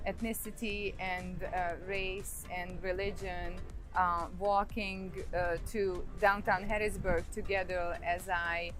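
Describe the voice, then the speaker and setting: Slow, hesitant Hungarian-accented woman. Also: on the low side, flat delivery, a bit bright, soft background music. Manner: hesitant, many ums and uhs. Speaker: painter. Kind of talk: artist talk about her painting.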